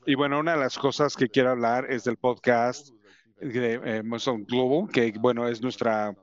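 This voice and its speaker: A man with an English accent and English as his first language, giving a conference presentation in a halting way, with many fillers and restarts. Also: mid-range voice, steady tone, clean tone, highs cut off. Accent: English speaker's Spanish. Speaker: company representative presenting to educators.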